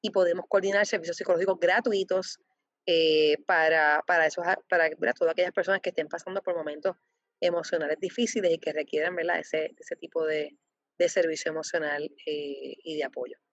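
A woman's voice: low voice, fairly even intonation, brisk, no room sound. Hesitant woman, lo-fi. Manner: hesitant, many fillers and restarts. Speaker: foundation representative, guest. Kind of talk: interview answers. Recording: dull lo-fi dry sound.

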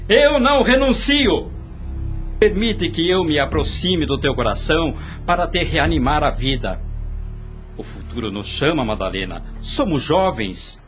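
An actor playing a proud, insistent young suitor in a radio soap opera, smooth-talking and florid, with a Brazilian accent. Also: male voice, fairly high pitch, expressive, measured pace, a bit bright, soft background music. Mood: impassioned. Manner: florid, theatrical, formal diction.

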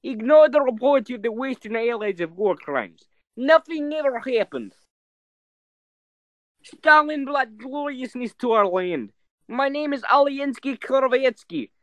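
Pompous Soviet propagandist man, mock Russian accent, broken English, pitched higher than his own voice, put on by a podcast host. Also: fairly bright tone, dry recording, heavily acted. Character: boastful male Soviet propagandist from Moscow.

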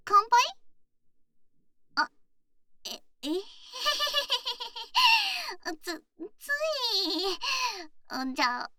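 A woman's voice: very high voice, very slow, long pauses, no room sound, heavily acted. A woman playing a cheerful, scatterbrained young athlete girlfriend, affectionate and teasing, in an intimate voice drama. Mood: flustered, excited. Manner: playful, bubbly, expressive. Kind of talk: intimate situation voice drama, acted.

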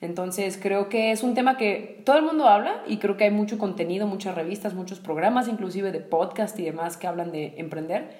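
A woman's voice: mid-range voice, brisk, few pauses, a little mellow, reverberant. A woman in her twenties, co-hosting a podcast and steering a friendly, casual chat. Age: 20s. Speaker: podcast co-host.

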